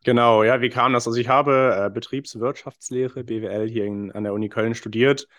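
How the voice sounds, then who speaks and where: A man's voice: medium pitch, fairly even intonation, brisk, a bit bright, dry recording. A man in his thirties from England, a podcast guest explaining his business in a friendly way with many fillers. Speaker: podcast guest, wine investment founder. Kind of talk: casual interview talk.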